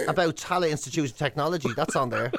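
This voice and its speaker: An Irish man hosting a breakfast radio show, bantering in a mock-earnest, deadpan comic way. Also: on the high side, fast talker, no room sound. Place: radio studio.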